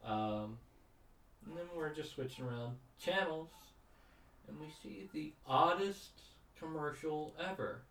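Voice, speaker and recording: A man's voice: on the high side, unhurried, a bit bright, slight background noise. A man co-hosting a podcast in casual chat, rambling and cynical. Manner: conversational, rambling, cynical asides. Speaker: podcast co-host. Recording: roomy sound, mic at a distance.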